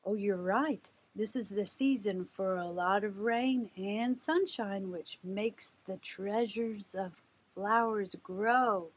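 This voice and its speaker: A woman's voice: mid-range voice, slow pace, low-quality recording. A middle-aged woman hosting a children's story time, reading aloud expressively and putting simple questions to her young listeners.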